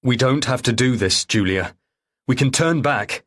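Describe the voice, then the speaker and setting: A man's voice: medium pitch, expressive, measured pace, fairly bright tone. A man voicing an anxious, bewildered father who sounds hesitant and pleading in an audiobook thriller. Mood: uneasy, resigned.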